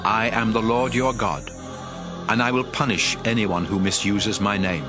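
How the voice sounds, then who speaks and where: A man's voice: lowish voice, moderate pace, music in the background, close to an everyday voice. An actor playing a solemn, commanding male prophet who addresses his people with emphatic oratory in a dramatized audio Bible.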